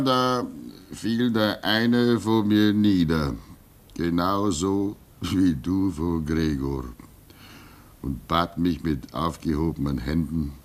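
Fatherly old hermit in a radio drama: low voice, very unhurried, gentle, resigned, reflective. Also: full character voice. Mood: wistful. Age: older. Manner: fatherly, reflective, halting, swinging between emphasis and hush. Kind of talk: German radio play acting.

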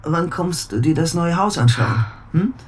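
Obsessive secretive bachelor, radio drama, intense, mid-pitched, very animated delivery from hushed to explosive. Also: male voice, measured pace, slight background noise. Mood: pleading, eager. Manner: intense, swinging from hushed muttering to sudden outbursts. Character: obsessive, secretive bachelor, pleading yet prone to outbursts. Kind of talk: German radio drama acting.

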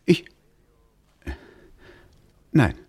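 An actor playing a shady, frightened suspect who fibs under questioning in a vintage radio crime play. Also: male voice, extremely slow, mellow. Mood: frightened, evasive.

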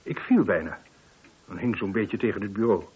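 An actor playing a wealthy older householder, formal, clipped and composed, in a radio play. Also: male voice, on the low side, normal speed, darkish tone, breathy edge. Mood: tense recounting. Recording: dull, lo-fi old radio recording.

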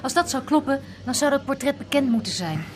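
An actress playing a sceptical, dry-witted historian in a naturalistic radio drama, teasing and sardonic. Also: female voice, high-pitched, animated, brisk.

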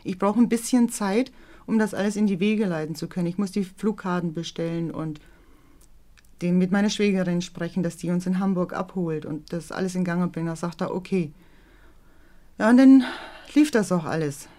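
A woman's voice: on the low side, moderate pace, warm tone. A middle-aged woman giving a plain, earnest, colloquial account of her own experience in an interview. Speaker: interviewed mother. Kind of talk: interview recollection.

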